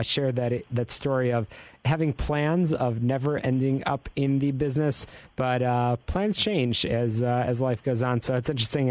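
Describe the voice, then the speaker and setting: A man's voice: medium pitch, darkish tone, no room sound. A male radio host with a mid-range voice, recorded dry and close. He asks long, wandering questions and drops in joking asides. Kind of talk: casual radio interview.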